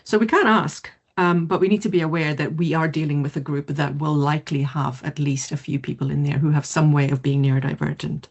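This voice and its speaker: A middle-aged woman from South Africa, whose first language is not English, giving an online mindfulness presentation in a lively, quick way. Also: low voice, gravelly, husky, dull audio. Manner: lively, quick, laughs easily. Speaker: mindfulness teacher.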